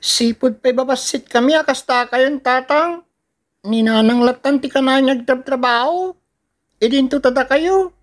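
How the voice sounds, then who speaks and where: A woman's voice: high voice, a little slow. A woman playing an earnest younger child of the family in an Ilocano audio drama, with an Ilocano accent. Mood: pleading, reproachful. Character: earnest young sibling, loving but reproachful child. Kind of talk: Ilocano radio-style drama acting.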